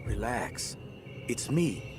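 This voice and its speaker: Concerned male survivor, slow and urgent. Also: medium pitch, big pitch swings, background noise. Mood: urgent, reassuring. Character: concerned male survivor, calming an injured friend. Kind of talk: dramatic video game acting. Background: music.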